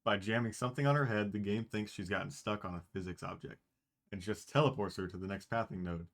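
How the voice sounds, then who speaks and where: Low-voiced man explaining game glitches. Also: fast talker. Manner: explanatory, technical. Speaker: speedrunner commentator. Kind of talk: explaining speedrun glitches, casual.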